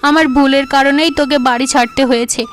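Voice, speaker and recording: Woman acting high-pitched lamenting young wife. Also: steady tone, a little fast, slight background noise, natural voice. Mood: remorseful. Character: overworked, self-pitying younger wife, emotional and remorseful.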